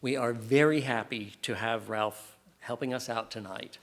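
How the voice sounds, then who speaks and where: A man's voice: medium pitch, normal speed, very noisy. A man hosting a candidates night, reading a formal introduction of the moderator. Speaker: event host.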